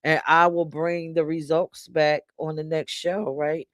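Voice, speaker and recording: Guy hosting a live show, kinda high voice, casual chat, real dry close sound. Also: flat delivery, unhurried, fairly bright tone, no room sound. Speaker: host of a live talk show.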